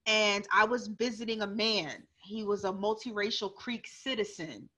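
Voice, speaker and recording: A young woman telling a story as a panelist on a video call, animated and full of restarts, through an earphone mic at home. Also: medium pitch, normal speed. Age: young adult. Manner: animated, gesturing, many restarts. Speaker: panelist author. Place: living room at home.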